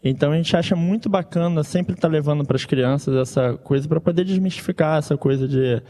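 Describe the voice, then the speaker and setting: A man's voice: on the high side, fairly quick, mellow, in a large hall. A man with a Brazilian accent, an orchestra musician explaining things casually as a guest. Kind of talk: casual explanatory interview talk.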